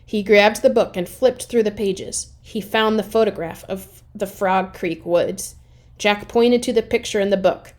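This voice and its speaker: Female read-aloud storyteller for children's chapter books: mid-pitched, expressive, with dramatic pauses. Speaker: reader of a children's chapter book.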